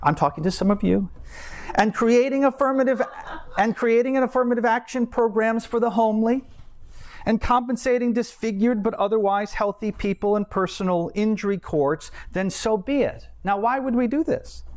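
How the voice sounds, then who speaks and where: A man's voice: sits high, normal speed. A man preaching a scripted sermon in formal, erudite language, with wry asides. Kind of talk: scripted sermon, read like an essay.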